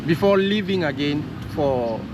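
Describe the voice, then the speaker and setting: Man, high voice, casual interview host, rephrases often, clear street noise behind. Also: expressive, measured pace. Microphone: handheld camera held by him. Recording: loud outdoor traffic noise.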